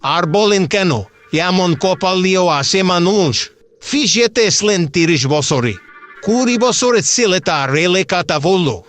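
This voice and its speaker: A man's voice: high voice, lively intonation, slow pace, a bit bright, voice pushed up, full character voice. A man voicing a chatty, pushy middle-aged house seller who grumbles and wheedles in colloquial patter in a dramatized horror story. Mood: grumbling, complaining. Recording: music behind the voice.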